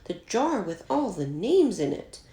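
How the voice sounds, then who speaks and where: Woman as a loud excitable schoolboy, pitched up. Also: a little slow. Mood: alarmed. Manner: excitable, exclaiming. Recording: roomy, echoey home recording.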